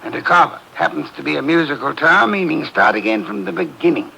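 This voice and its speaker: An actor playing a pompous, portly genius detective in a 1950s radio drama, speaking in a haughty, deliberate way. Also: male voice, fairly high pitch, expressive, vocal fry, sharp tone, exaggerated voice, rough recording. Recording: old radio broadcast with faint hiss.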